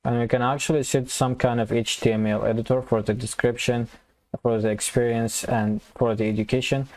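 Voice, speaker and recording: A man with a low, gravelly voice talks through a programming lesson as he types, often restarting his phrases. His tone is dark and mellow, slightly hoarse, and his delivery stays level and unhurried. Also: little pitch movement, no echo. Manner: thinks aloud, restarts phrases while typing code. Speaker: programming tutor. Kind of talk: casual screen-recorded coding tutorial.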